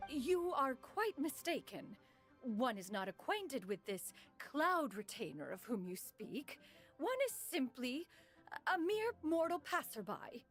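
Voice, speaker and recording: Lofty immortal lady in a game, talks all old-fashioned and grand, a bit higher voice. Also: sing-song, soft background music, exaggerated voice. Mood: haughty. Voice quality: archaic formal speech, refers to herself as "one". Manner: measured and grand, flustered when caught out. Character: stately immortal woman, formal, aloof, proud. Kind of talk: video game voice acting.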